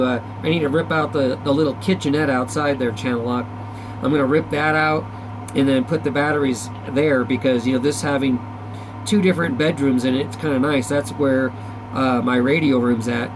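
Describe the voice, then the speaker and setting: A man's voice: mid-range voice, noisy background. A man chatting casually as a CB radio operator, in a folksy, slangy, rambling way. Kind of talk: casual chat over CB radio. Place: back-room radio shack.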